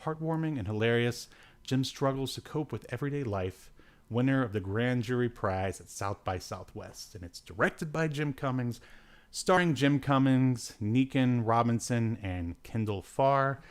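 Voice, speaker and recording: A man's voice: medium pitch, animated, measured pace. A man hosting a podcast with casual, rambling review chat, hesitant and given to dry, joking asides. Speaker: podcast host.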